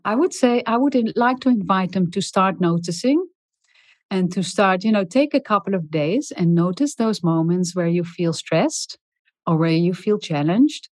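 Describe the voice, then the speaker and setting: A middle-aged woman speaking in a calm, soft, deliberate way as a podcast guest, with many small pauses and ums. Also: medium pitch, no room sound. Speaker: guest expert on leadership. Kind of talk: podcast interview.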